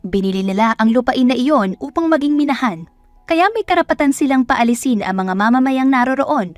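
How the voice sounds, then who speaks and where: A woman's voice: high voice, animated, fairly quick, dry recording, faint music behind. A woman voicing a stern old judge in an audio story, authoritative, impatient and dismissive. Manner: authoritative, scolding. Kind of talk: dramatic audio story acting.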